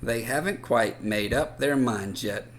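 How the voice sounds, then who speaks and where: A man reading a picture book aloud to children in an expressive, animated way with drawn-out emphasis. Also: mid-range voice, slight background noise. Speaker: children's read-aloud storyteller.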